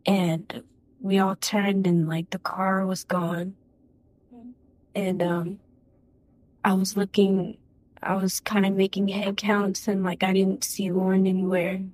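A woman's voice: medium pitch, flat delivery, darkish tone, dry recording. Hesitant young woman giving testimony. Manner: halting and hesitant, lots of "like" and restarts. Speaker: witness. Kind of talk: courtroom testimony.

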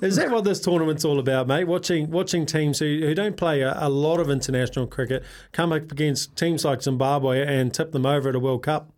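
A man's voice: a little high, fairly quick, hardly any pauses, darkish tone. A man co-hosting a sports radio show, matey and joking as he questions a guest and reels off cricket scores.

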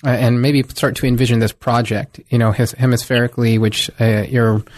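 A man hosting an academic book podcast, reading a formal introduction and then asking questions in a measured way. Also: mid-range voice, level tone, a little mellow, dry recording. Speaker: podcast host. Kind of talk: scripted introduction and interview questions.

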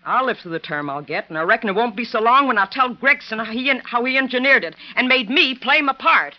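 A woman's voice: on the high side, animated, fast talker, lightly acted. An actress playing a tough, defiant woman villain with folksy frontier speech in an old-time radio western. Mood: bitter resignation. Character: cornered woman villain disguised as older ranch owner, defiant. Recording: dull vintage radio recording.

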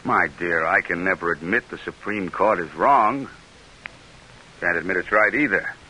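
An American actor playing a proud, shrewd middle-aged senator in an old-time radio drama. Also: male voice, low voice, normal speed, sharp tone, slight background noise, rough recording. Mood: worried, calculating. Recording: vintage radio broadcast recording.

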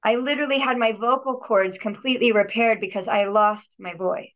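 A woman's voice: a little high, fairly quick, a bit bright. A woman guest on a podcast telling her personal healing story in an earnest, reflective way, often restarting and repeating words. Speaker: podcast guest, healer and yogi. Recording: dull lo-fi audio.

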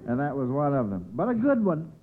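High-voiced jovial American radio quiz host. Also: male voice, very animated, brisk. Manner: jovial, chuckling, folksy, bumbling. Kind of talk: 1940s radio quiz show hosting. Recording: scratchy old radio broadcast, narrow phone-like sound.

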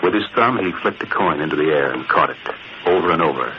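A man's voice: a bass voice, expressive, fast. A man playing a dramatic, suspenseful narrator of a 1940s radio crime serial. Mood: tense, hushed. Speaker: announcer and narrator. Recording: muffled, noisy old radio recording.

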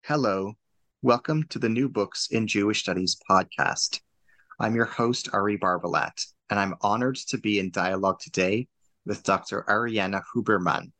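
Formal host guy, lowish voice, dry close recording. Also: moderate pace, fairly bright tone, no room sound. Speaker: podcast host. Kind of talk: interview hosting.

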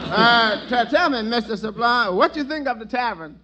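A man's voice: very high-pitched, animated, normal speed. An actor playing a respectful male tavern waiter and sidekick in a 1940s radio comedy, mild and deferential. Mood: mild, courteous. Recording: muffled old radio recording, faint noise.

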